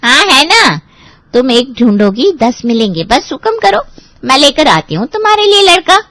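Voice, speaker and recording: A woman's voice: sits high, big pitch swings, normal speed, a bit bright, no room sound. A woman playing a teasing, affectionate elder sister in a family audio drama. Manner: playful, teasing.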